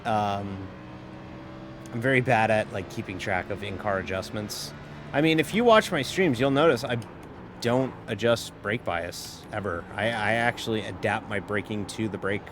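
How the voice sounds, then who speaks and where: A man's voice: on the low side, moderate pace, noisy background. Rambling male sim-racing streamer. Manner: rambling, filler-heavy, self-mocking, swears casually. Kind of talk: casual live-stream chat while racing.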